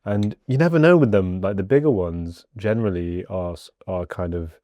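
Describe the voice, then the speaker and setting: A man's voice: sits low, normal speed, no room sound, dull audio. A male podcast host chatting easily with his guest, filling his sentences with lots of "like". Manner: relaxed, many filler likes. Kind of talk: casual chat.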